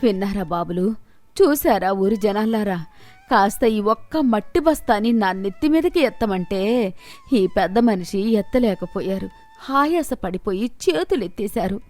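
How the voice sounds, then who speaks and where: A woman's voice: medium pitch, lively intonation, a little slow, darkish tone, close to an everyday voice. A woman voicing a shrewd, poor old village woman in a moral story, respectful in address but righteously firm, over music. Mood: righteous indignation. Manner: pleading, respectful address building to stern rebuke.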